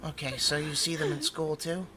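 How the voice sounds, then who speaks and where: A woman playing a protective mother in an audio roleplay, gently and earnestly reassuring her child in a halting way. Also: sits low, flat delivery, very noisy, lightly acted. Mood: firm, loving. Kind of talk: audio roleplay drama acting.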